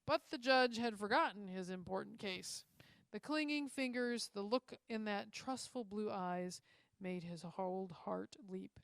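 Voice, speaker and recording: A woman reading a story aloud in an expressive storytelling style. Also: mid-range voice, unhurried, creaky, dry recording. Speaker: story reader.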